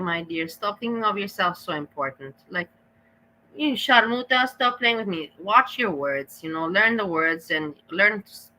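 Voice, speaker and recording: Bright-voiced woman, scolding rant, Austrian accent. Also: mid-range voice, low-quality recording. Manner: profane, scolding, repetitive. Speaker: streamer reacting to clips. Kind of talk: ranting reaction commentary.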